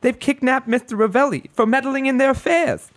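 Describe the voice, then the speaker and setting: A woman playing a flustered young office secretary in a radio play, excitable and chirpy. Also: sits high, a little fast, close to an everyday voice.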